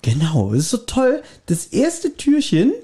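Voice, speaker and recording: A man's voice: very high-pitched, huge pitch swings, measured pace, dark tone. A man hosting a podcast in a casual, chatty, bantering style. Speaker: podcast host. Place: guest's apartment, indoors.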